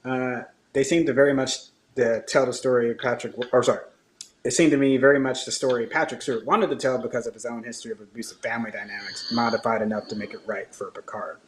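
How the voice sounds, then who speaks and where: A man's voice: mid-range voice, steady tone, quick pace, slight background noise, rough recording. Hesitant man chatting on a podcast. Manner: hesitant, filler-heavy. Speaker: podcast co-host. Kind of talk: casual discussion over a call.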